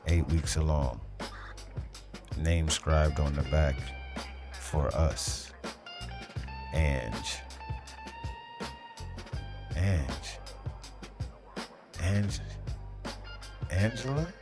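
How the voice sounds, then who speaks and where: Very deep, slow noir detective. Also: male voice, animated, exaggerated voice. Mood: brooding, suspicious. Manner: brooding, terse, deliberate. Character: hard-boiled noir police detective narrating his case. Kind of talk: noir audio drama narration. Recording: loud music bed behind the voice.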